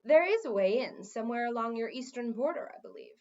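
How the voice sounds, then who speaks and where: A woman voicing a smooth, pushy, lecturing male head wizard in a children's fantasy story. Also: a little high, animated, fast, darkish tone, muffled recording, natural voice. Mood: confident. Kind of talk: children's fantasy audiobook reading.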